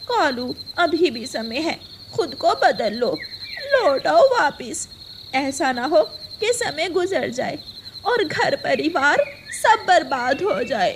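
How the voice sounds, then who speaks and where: A woman's voice: high-pitched, sing-song, slow, a little hiss or hum, exaggerated voice. A woman voicing a worried, reproachful mother crow and wife, earnest and admonishing, in a cartoon moral story. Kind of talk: acted dialogue in Hindi moral cartoon story.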